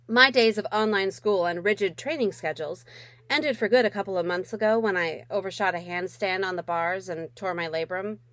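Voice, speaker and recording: A woman's voice: medium pitch, fairly bright tone, no room sound. A woman author reading her middle grade novel aloud in a wry first-person voice with dramatic emphasis.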